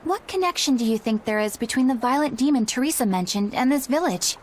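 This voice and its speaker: A woman's voice: high voice, animated, brisk, darkish tone, slight background noise. A woman voicing an earnest, righteous young female exorcist in a video game, formal and sincere. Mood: concerned.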